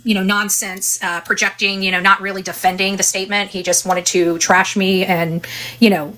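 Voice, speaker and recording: A woman's voice: mid-range voice, fairly bright tone, low-quality recording. A woman hosting an online case commentary channel, speaking casually in a rambling, opinionated way with emphatic repetition. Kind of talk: casual true-crime case commentary livestream.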